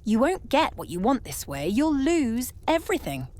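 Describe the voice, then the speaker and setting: A woman's voice: on the high side, very animated, normal speed, a little mellow, a little hiss or hum. A woman playing a solemn, knowing immortal lioness in a fantasy audio drama, speaking with portentous gravity. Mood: grave warning.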